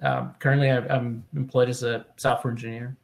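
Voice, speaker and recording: Man, software engineer on a panel, monotone, gravelly, plain, self-deprecating. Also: mid-range voice, brisk. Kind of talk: panel introduction. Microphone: video call.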